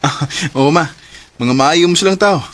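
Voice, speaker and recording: A man's voice: on the high side, normal speed, fairly bright tone, lightly acted. An actor playing a nervous young man who stammers and hesitates, in a Filipino radio drama with a Cebuano accent. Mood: awkward, evasive. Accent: Filipino, Cebuano-speaking. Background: background music.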